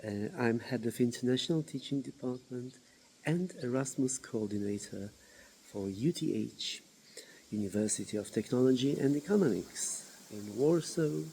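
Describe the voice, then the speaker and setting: Guy, gravelly dark voice, pitch jumps around a lot, halting intro. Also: a little hiss or hum, rough recording. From Poland. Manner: lively, swooping pitch with halting pauses. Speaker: university international coordinator. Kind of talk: self-introduction video. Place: remote village.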